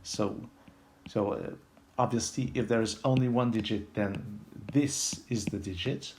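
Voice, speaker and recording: French guy explaining a puzzle out loud, really slow, stops and starts mid sentence. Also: lowish voice, slight background noise. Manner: halting, pauses mid-sentence, explains step by step. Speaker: puzzle video host. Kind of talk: unscripted puzzle tutorial, thinking aloud.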